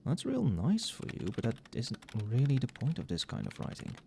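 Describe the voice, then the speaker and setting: A man playing an overworked, tipsy magic professor in a casual ASMR roleplay, muttering softly with dry, wry asides. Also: medium pitch, wildly swooping pitch, normal speed, vocal fry, a little hiss or hum. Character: tipsy, overworked male magic professor grading late at night.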